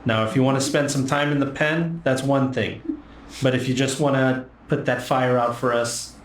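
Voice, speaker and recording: A man's voice: mid-range voice, little pitch movement, normal speed, recorded from a distance, a little hiss or hum, natural voice. Tabletop game role: officious male guard, voiced by the game master, stern and lecturing, recorded in an echoey room. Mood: stern, scolding. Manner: scolding, lecturing, demanding.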